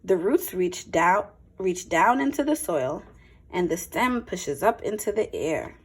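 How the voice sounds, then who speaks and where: A woman teacher reading a picture book aloud to young children, with expressive phrasing and simple explanatory asides. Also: fairly low pitch, a little slow, husky.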